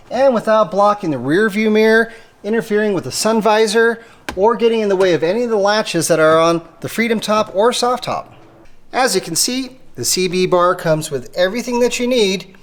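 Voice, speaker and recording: A man presenting a hands-on installation tutorial, explaining things methodically step by step. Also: high-pitched. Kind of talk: step-by-step how-to instruction.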